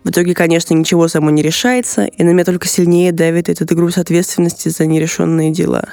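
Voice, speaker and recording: Female podcast contributor, flat intonation, dry close recording, prepared personal account with faint music behind. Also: fairly low pitch, quick pace, dry recording. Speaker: podcast team member.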